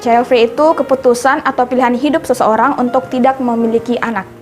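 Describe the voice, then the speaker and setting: A woman's voice: a little high, fairly even intonation, fast, music in the background. A woman in her twenties giving earnest, argumentative answers in a street interview. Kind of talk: vox pop answers to camera.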